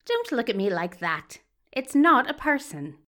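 A woman's voice: a little high, big pitch swings, unhurried, a bit bright. A woman voicing a menacing, contemptuous male villain doctor with a dangerous, commanding manner in an audio drama. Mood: scornful, calculating. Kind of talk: audio fiction acting.

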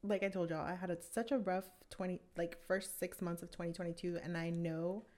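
A woman's voice: on the low side, steady tone, fairly quick, darkish tone. A woman in her mid-twenties co-hosting a casual podcast chat with friends, talking loosely with lots of fillers and restarts. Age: 20s. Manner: chatty, many fillers and restarts, laughs often. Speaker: podcast co-host.

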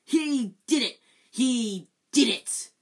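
A woman's voice: deep voice, takes it slowly. Woman doing a shrieking old caretaker. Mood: hysterical. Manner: shrieking, choked, raised voice. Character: bitter hysterical old male caretaker.

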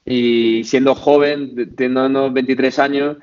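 A man's voice: mid-range voice, little pitch movement, measured pace, a little mellow, clear, resonant tone. Guest, man, late 20s, Madrid accent, hesitant and chatty, dull lo-fi audio. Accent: Spain Spanish. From Spain, Madrid. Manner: hesitant, many fillers and restarts. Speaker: podcast guest. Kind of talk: casual interview chat.

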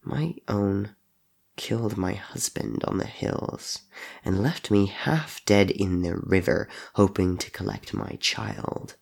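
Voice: sits low, measured pace, gravelly, exaggerated voice. A performer voicing a wounded, defiant and protective shapeshifter father in a story, snapping with scorn. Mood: cold, bitter.

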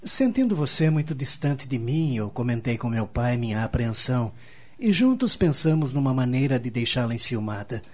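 A man's voice: medium pitch, sing-song, normal speed, mellow. A man playing a tender, reassuring husband in a radio soap opera. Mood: apologetic, tender. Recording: dull lo-fi radio recording.

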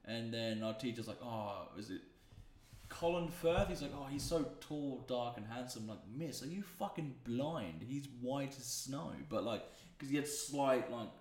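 A man's voice: medium pitch, animated, a little slow, a little mellow. A young man hosting a podcast, telling rambling stories and swearing freely. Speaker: podcast host. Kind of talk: casual chat. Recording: echoey room.

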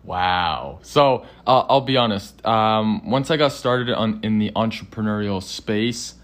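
A man speaking as a podcast guest, earnest and halting, full of restarts as he answers. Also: on the low side. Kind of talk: casual interview.

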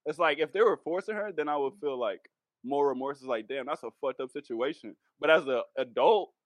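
A man chatting casually on a podcast in an animated, slangy manner. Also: fairly high pitch, fairly quick, no echo. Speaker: podcast panelist. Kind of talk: casual chat.

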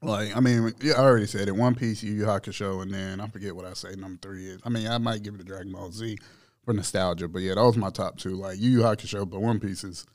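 A man's voice: on the low side, fairly even intonation, fast, a little mellow. A man hosting a podcast, talking loosely in slang and often repeating himself. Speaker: podcast host. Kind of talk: casual chat.

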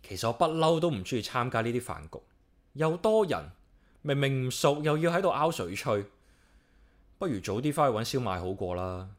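An actor playing a solitary, caring young office worker with a Hong Kong accent, narrating and chatting casually in a radio drama. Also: male voice, mid-range voice, very animated, normal speed, lightly acted. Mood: worried, musing. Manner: casual, talks playfully to himself and pet. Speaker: lead character and narrator. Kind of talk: radio drama dialogue and inner-monologue narration.

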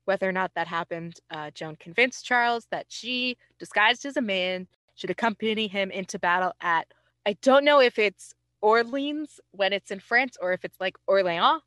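A woman podcast host with a medium-pitched voice, recorded dry and close. She tells history like casual conversation, informal and self-deprecating, slipping in wry asides. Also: expressive, normal speed, a bit bright, clear, resonant tone, no echo.

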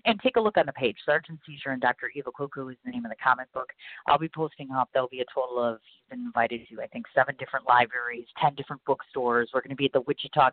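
A man's voice: medium pitch, narrow, tinny audio. A man hosting a podcast, talking in a rambling, gushing way and often repeating himself. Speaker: podcast host. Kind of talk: casual talk show monologue.

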